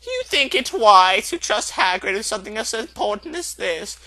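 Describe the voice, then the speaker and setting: A man's voice: high-pitched, sing-song, normal speed, bright, forceful tone, dry recording, pitched above the performer's own voice, full character voice. A man voicing a stern, anxious older female professor in a storybook reading, with emphatic, fussy, scolding delivery. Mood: doubtful. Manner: emphatic, exclamatory, clipped scolding.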